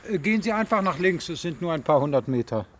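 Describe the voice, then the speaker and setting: A man's voice: fairly high pitch, animated, fast, slight background noise, close to an everyday voice. An actor playing a polite, matter-of-fact male train official in a German radio drama. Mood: unruffled, helpful.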